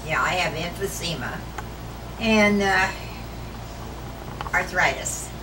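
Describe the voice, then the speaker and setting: Slow-talking woman heard from across an echoey room. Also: lowish voice. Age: 50s. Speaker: interviewee. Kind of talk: casual testimony. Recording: noisy, echoey, distant from mic.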